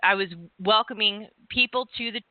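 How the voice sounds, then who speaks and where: A woman's voice: medium pitch, steady tone, moderate pace, dry recording. Rambling woman host on a tinny line. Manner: rambling, searching for words with many restarts. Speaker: podcast host and former hypnotist. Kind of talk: casual interview chat.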